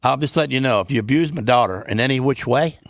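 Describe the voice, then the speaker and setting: A man's voice: medium pitch, fast, dry recording. Blunt, folksy man in a dry studio. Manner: blunt, folksy, rough grammar. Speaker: business owner as talk show guest. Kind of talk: casual unscripted banter.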